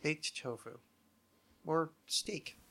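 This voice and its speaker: Male podcast host, forceful bright voice, sardonic, rambling, profane, casual comedic delivery. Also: on the high side, very slow, vocal fry.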